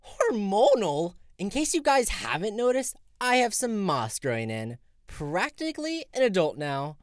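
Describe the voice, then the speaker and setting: A woman's voice: medium pitch, big pitch swings, unhurried, dry recording, clean studio sound. A woman playing a cheeky, touchy adolescent talking tree in a fantasy audio comedy. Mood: indignant, startled. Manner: bratty, taunting.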